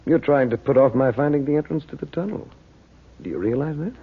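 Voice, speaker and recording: An actor playing a calm, determined, commanding adventurer uncle in a vintage radio drama. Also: male voice, mid-range voice, brisk, husky, lightly acted. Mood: firm, challenging. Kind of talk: 1940s radio drama acting. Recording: muffled old radio recording.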